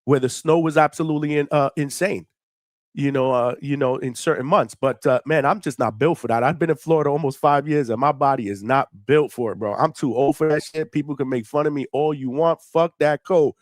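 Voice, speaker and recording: A middle-aged man hosting a live boxing call-in stream, chatting casually in hyped, slangy talk with shout-outs and free swearing. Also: a little high, a little fast, no echo, studio quality. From New York City, United States. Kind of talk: casual livestream chat with viewers.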